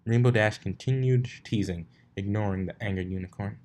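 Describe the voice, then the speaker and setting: A man's voice: lowish voice, fairly quick, a little mellow. A man narrating fan fiction aloud, now and then stumbling and rereading phrases. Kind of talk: story narration read aloud.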